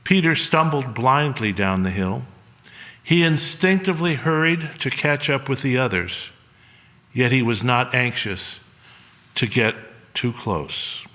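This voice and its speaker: A man reading a solemn scripted story in a measured way, with pauses. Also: mid-range voice, lively intonation, bright, forceful tone. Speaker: reader at a church service. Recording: long hall reverb.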